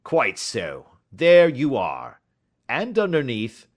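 A man voicing a gruff, hot-tempered poultry salesman in an audiobook, blustering, snappish and emphatic. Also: medium pitch, huge pitch swings, slow, voice pushed up, heavily acted. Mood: smugly triumphant. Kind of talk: audiobook character dialogue.

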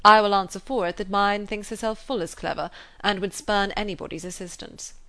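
Woman reading a calm dry gentleman. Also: medium pitch, natural voice. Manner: calm, measured, dryly firm. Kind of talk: audiobook character reading.

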